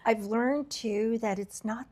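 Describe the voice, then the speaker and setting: A woman hosting a podcast, her voice a little raspy from travel, talking in an earnest, reflective way with frequent fillers and restarts. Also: a little high, slow pace, darkish tone. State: slightly raspy voice from travel fatigue. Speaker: podcast host. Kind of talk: candid podcast conversation.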